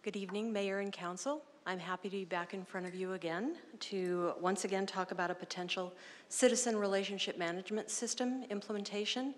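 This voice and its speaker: A woman's voice: medium pitch, steady tone, fairly quick. A middle-aged woman presenting an item to a city council in a friendly, lightly joking way, at a desk microphone. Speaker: assistant to the city manager. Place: council chamber. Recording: long reverb of a council chamber.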